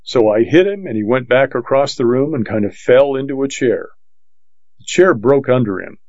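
Guy reading a western story, steady and plain, dull dry recording. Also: medium pitch, moderate pace, dry recording. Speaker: audiobook narrator. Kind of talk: first-person western narration.

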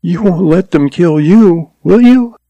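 A man reads as a slight young frontier schoolteacher, a woman with an earnest, pleading way of speaking. The pitch is lifted well up, in storybook style, with a dry, close sound. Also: lively intonation, unhurried, gravelly, dark tone, no room sound, highs cut off, full character voice. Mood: anxious.

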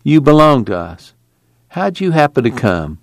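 A man doing a calm earnest camp leader. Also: mid-range voice, moderate pace, creaky, dry recording, pitched above the performer's own voice. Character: patient middle-aged male camp leader.

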